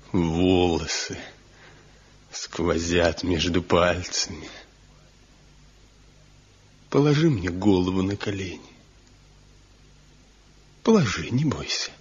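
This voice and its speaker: A man's voice: sits low, husky, exaggerated voice. A man acting a tormented, jealous village craftsman in a radio drama, speaking in an emotional, lyrical and accusing manner. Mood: tender, longing. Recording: muffled 1970 radio drama recording, music behind.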